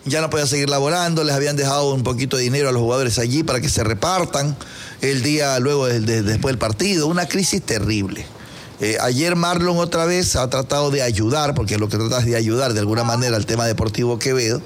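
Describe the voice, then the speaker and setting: A man's voice: mid-range voice, quick pace. A man with an Ecuadorian accent hosting casual banter and informal sports talk in a teasing, colloquial way. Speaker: radio show host.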